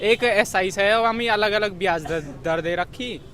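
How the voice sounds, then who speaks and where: A man's voice: high voice, normal speed. A young man, an exam candidate informally recapping a paper and often rephrasing himself. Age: young adult. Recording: busy outdoor street, crowd and traffic noise.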